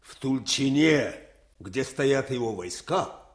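Актёр играет чопорного, угодливого графа, докладывающего императору, в советской радиопостановке. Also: male voice, mid-range voice, lively intonation, slow. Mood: indignant, reporting. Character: stiff, servile count informing on others to his emperor. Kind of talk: 1980s Soviet radio drama acting. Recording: roomy radio drama studio echo.

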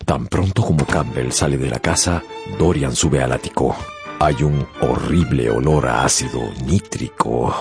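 A man narrating a radio novel dramatically, with deliberate, suspenseful phrasing. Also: very deep voice, creaky.

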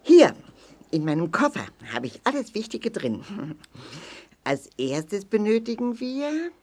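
Eine Schauspielerin spricht einen freundlichen Zahndoktor in einem Kinderhörspiel, mit rauchiger Stimme, eifrig erklärend, betont und ermutigend. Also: female voice, mid-range voice, big pitch swings, measured pace, a little mellow. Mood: eagerly instructive. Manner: emphatic, explanatory, encouraging. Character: friendly, instructive tooth-care doctor, eager teacher. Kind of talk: children's audio drama.

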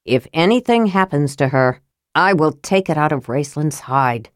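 Stern half-elf leader, male, fantasy storybook, read by a woman. Low voice, troubled, serious. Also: animated, moderate pace, dry recording, studio quality. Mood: stern, grim. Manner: serious, questioning.

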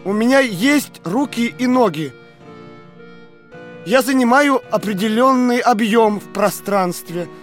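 A halting, high-voiced male prisoner. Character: weakened male prisoner, questioning, stubbornly clinging to reason. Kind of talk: dramatic audio play acting.

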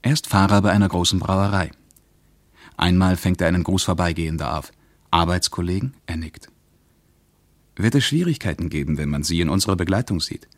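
A man playing an observant traveller who recounts a journey in a radio play, measured and understated. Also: deep voice, dry recording. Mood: sober. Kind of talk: scripted radio play narration.

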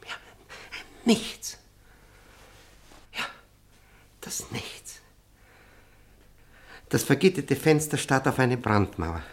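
An actor playing a haunted, cultured former prisoner in a radio play, telling his story intensely and deliberately with heavy pauses. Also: mid-range voice, vocal fry, a little mellow. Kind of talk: dramatic monologue in a radio play.

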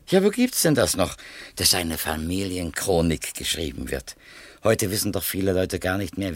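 An actor playing an earnest, wordy and evasive publishing editor in a 1970s East German radio drama. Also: male voice, fairly low pitch. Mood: chatty, admiring. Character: conscientious male publishing editor, talkative, evasive, self-reproachful.

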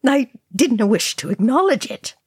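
Woman putting on a hoarse, agitated dwarf. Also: on the high side, very animated, measured pace, no room sound, studio quality, voice pushed up, heavily acted. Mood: agitated, shaken. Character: shaken, angry older male dwarf.

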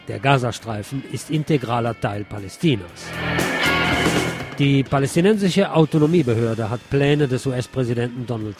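A man's voice: medium pitch, darkish tone. A man hosting a radio programme, commenting on the news and reading press reports aloud. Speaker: radio host.

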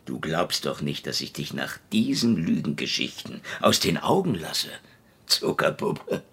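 A man's voice: extremely low pitch, very animated, unhurried, vocal fry, a bit bright, breathy edge, heavily acted. An actor playing a tough, sly Soviet secret policeman with a sardonic, bullying manner in a radio crime drama. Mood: dry, mocking.

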